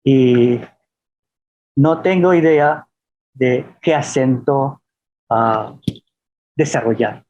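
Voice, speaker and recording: A man from the Philippines, giving an informal lecture as a professor and telling anecdotes in a halting, self-deprecating way. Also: mid-range voice, a little mellow, muffled recording.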